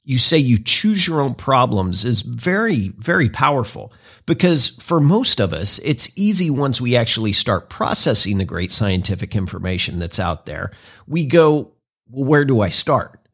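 A male podcast host with an upbeat, polished presenter delivery. His pitch swings widely as he speaks, though it sits in the middle range for a man. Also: sing-song, normal speed, a bit bright, husky. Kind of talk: hosted podcast interview.

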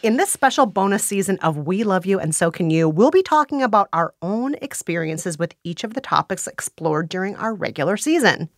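A woman's voice: medium pitch, animated, brisk, hardly any pauses. A woman hosting a podcast, leading the talk with upbeat questions and explanations. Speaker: podcast host. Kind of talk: casual chat.

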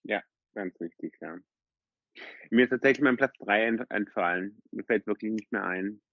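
A man co-hosting a podcast, telling personal stories casually in a halting, self-reflective way. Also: fairly low pitch, dry recording. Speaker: podcast co-host. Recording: dull, slightly lo-fi dry audio.

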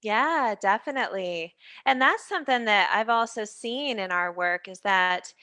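A woman's voice: mid-range voice, expressive, measured pace, bright, forceful tone, dry recording, clean studio sound. A woman guest expert explaining her work with enthusiasm in a casual interview. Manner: enthusiastic, laughs easily, uses fillers like "you know". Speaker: guest brain health expert.

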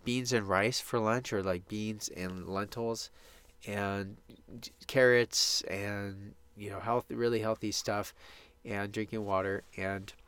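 A man's voice: lowish voice, fairly even intonation, takes it slowly, fairly bright tone, clear, resonant tone, no echo. Stuffy-nosed man rambling on a podcast. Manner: rambling, upbeat, with many restarts. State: stuffed up from allergies. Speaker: podcast host. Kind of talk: casual solo podcast monologue. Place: small home sound booth.

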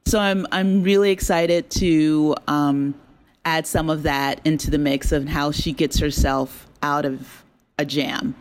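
A woman being interviewed as a drama creator, talking casually with an animated, enthusiastic manner. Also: sits low, a little slow.

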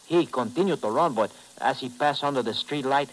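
A man's voice: medium pitch, a little fast, natural voice. An actor playing a hesitant Cuban man giving testimony in Spanish-accented English in a 1950s radio drama. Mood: anxious, earnest. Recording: crackly, hissy old radio recording.

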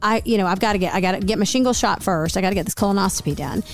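A raspy-voiced woman in her forties, co-hosting a podcast in a chatty, rambling way full of fillers. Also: mid-range voice, very fast, soft background music. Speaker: podcast co-host. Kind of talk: casual podcast chat.

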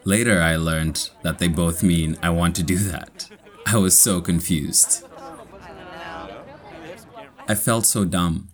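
A young man with a Kenyan accent, reading a personal story slowly and clearly for English learners. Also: sits low. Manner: slow, clear, measured reading.

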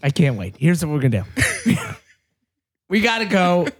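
Husky-voiced man losing his voice. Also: a little high, big pitch swings, moderate pace, no room sound, clean studio sound. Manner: loose, swearing, laughing a lot. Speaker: podcast host. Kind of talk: casual group chat. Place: wood-panelled vacation room.

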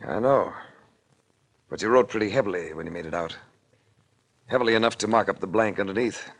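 Casting: hard-boiled American investigator, low voice, wry and unflappable, vintage radio drama style. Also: male voice, brisk, gravelly. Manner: unflappable, dryly sardonic. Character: cool, wry male investigator in crime drama, narrating his case. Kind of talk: 1940s radio detective acting. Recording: vintage 1940s radio recording.

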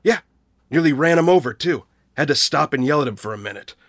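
An actor playing a gruff, guilt-ridden middle-aged small-town American man in an audio drama, husky-voiced, blunt and folksy. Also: fairly high pitch, animated, fairly quick, no echo, clean studio sound. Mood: wry, pressing. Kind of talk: naturalistic audio drama acting.

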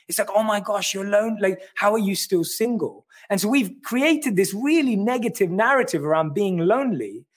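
A man from London with a London accent, an author explaining his ideas in an earnest, reflective way during a livestream conversation. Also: high voice. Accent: London English. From London, England. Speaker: author and guest.

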